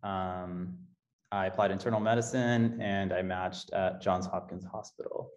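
Male panelist from Texas, low-pitched, halting with fillers; lo-fi audio. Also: steady tone. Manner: hesitant, many fillers. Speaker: medical graduate panelist. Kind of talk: casual panel talk. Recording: dull lo-fi audio.

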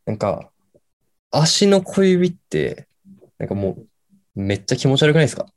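A man's voice: mid-range voice, very animated. Male actor as radio host: young, medium register, casual, stop-start rambling with sudden bursts. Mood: musing, rambling. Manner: halting, filler-heavy, then bursts of words. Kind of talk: amateur radio drama acting as casual late-night radio chat.

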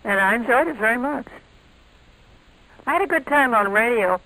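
Older woman, bright sharp timbre, interview guest, reminiscing conversationally. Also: a little high, lively intonation, moderate pace, no echo, low-quality recording. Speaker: interview guest, former radio performer.

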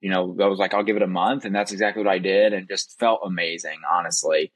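A young man with a fairly low voice talks informally and openly as a podcast guest. He is talkative and conversational, and the sound is close and dry with very little room. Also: fairly even intonation, quick pace, no echo. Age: young adult. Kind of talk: casual conversational personal storytelling.

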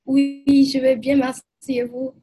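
A woman from Burkina Faso, answering interview questions in hesitant, measured phrasing. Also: high voice, flat delivery, mellow, breathy edge, soft background music. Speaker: guest, immigration lawyer.

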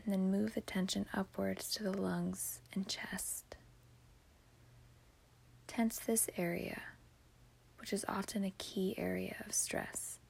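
Female meditation guide: breathy whisper, very slow pacing, hushed and soothing, for a sleep podcast. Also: mid-range voice, fairly even intonation. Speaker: podcast host.

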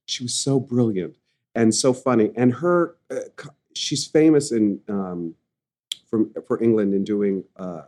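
A man's voice: fairly low pitch, a little slow, mellow. A male stage actor in an interview, talking thoughtfully with frequent restarts. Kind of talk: conversational interview.

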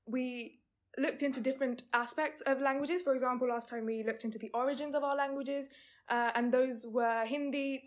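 A teenage girl hosts a casual podcast panel, her voice sitting a little high. Her first language is Telugu, and she keeps the talk moving with chatty questions. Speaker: podcast host. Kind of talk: casual discussion.

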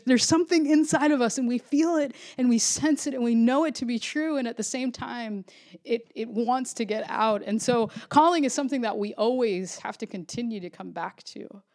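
A woman's voice: a little high, normal speed, a little mellow. A woman pastor from Florida, preaching a sermon in an earnest, reflective way, often restarting phrases.

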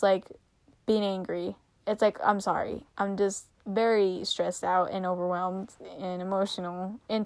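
A young woman in her teens hosting a casual solo podcast, rambling with lots of fillers and giggles, her voice a little raspy and tired. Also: a little high, no room sound. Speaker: podcast host.

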